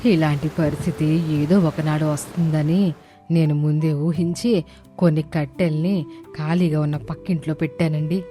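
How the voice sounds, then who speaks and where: A woman voicing a humble, sensible poor village wife in an animated moral story, respectful in manner. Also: low voice, moderate pace, faint music behind, close to an everyday voice. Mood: calm.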